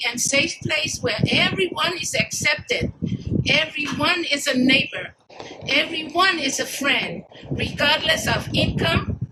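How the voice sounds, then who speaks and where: A woman from Samoa, a grandmother and volunteer, giving a short, emphatic prepared speech with pauses between phrases. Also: high voice, heavy background noise. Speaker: community volunteer. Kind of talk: prepared speech at an outdoor event.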